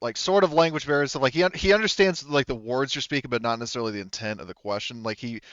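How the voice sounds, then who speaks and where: A man improvising as game master of a tabletop roleplaying game, halting as he searches for words and swearing casually. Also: lowish voice, fairly bright tone, dry recording, lo-fi sound. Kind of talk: improvised narration of a tabletop roleplaying game, relaying characters' replies.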